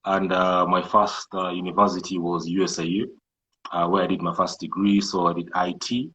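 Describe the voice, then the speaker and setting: A man from the Kenyan coast with a Kenyan accent, a native Swahili speaker, talking as a guest in a casual interview in a measured, thoughtful way. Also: deep voice, steady tone, bright, forceful tone, slight background noise, low-quality recording. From Kenyan coast, Malindi. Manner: measured, thoughtful, frequent restarts and fillers. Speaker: guest entrepreneur.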